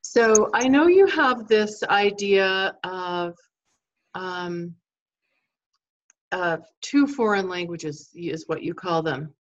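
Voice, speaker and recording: A woman's voice: lowish voice, lively intonation, slow pace, dull audio. A woman hosting an interview who politely and encouragingly invites her guest to explain her ideas. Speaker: interview host.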